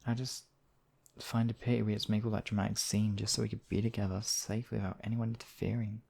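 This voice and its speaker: A man playing a demon king in an intimate ASMR roleplay, husky and theatrical, with deliberate phrasing and lingering pauses. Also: on the low side, little pitch movement, darkish tone. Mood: tender, concerned. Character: demon king, menacing captor act turning tender, devoted lover.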